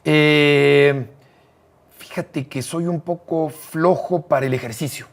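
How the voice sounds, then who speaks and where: A man's voice: a little high, takes it slowly, gravelly. A middle-aged Mexican man with a Mexican accent, a radio broadcaster talking as an interview guest, earnest and affectionate in a casual conversation. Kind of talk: warm casual interview talk.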